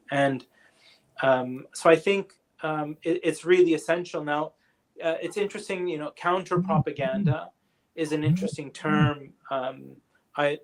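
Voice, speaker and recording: A man answering audience questions in an online lecture, speaking thoughtfully with many fillers, pauses and restarts. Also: fairly high pitch, poor audio. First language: English. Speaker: guest lecturer.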